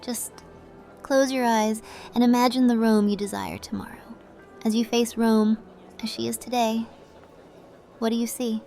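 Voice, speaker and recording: A woman playing a sly, composed sorceress in a fantasy audio drama, measured, mysterious and coy. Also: fairly high pitch, flat delivery, a little mellow, soft background music, lightly acted. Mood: soothing, knowing.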